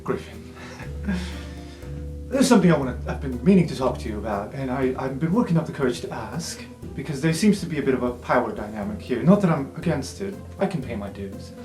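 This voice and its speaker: A man's voice: medium pitch, expressive, brisk, warm tone, natural voice. Eager, theatrical male student, played by a man, grandiose and insistent would-be sidekick; echoey room, faint music bed. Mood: earnest, intense.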